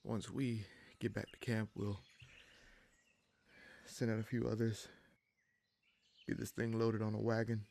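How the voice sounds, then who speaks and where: Low-voiced, thoughtful bandit leader played by a man. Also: level tone, a little slow, gravelly, pauses often, warm tone. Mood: musing, hopeful. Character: wounded human bandit leader, gruff but kindly, wryly thoughtful. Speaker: audio roleplay performer. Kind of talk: immersive audio roleplay, addressing the listener.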